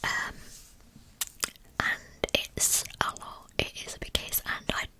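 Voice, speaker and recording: A woman whispering in a hushed, soothing way as the host of an ASMR true crime channel. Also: takes it slowly. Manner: hushed, soothing delivery meant for sleep.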